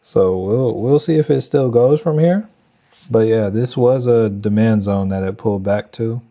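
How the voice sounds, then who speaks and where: Young Texan man, trading stream host, loose slangy thinking-aloud delivery, mellow gravelly voice. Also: lowish voice, unhurried. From Texas. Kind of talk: casual live market commentary.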